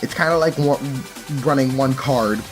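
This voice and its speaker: A man's voice: mid-range voice, moderate pace, faint music behind. A man giving a casual, explanatory walkthrough as a card game player presenting a deck.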